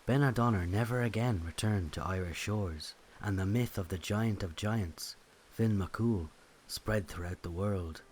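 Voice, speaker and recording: A man's voice: lowish voice, a little slow, darkish tone, a little hiss or hum. A man giving scripted storytelling narration of a mythic legend.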